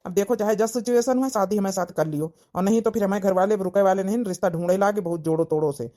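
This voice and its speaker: Woman voicing an insistent young male suitor, medium pitch. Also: quick pace, dark tone, natural voice. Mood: pressing. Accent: Awadhi. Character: pressing, wounded young village suitor, male. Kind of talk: cartoon comedy-drama dialogue in village dialect.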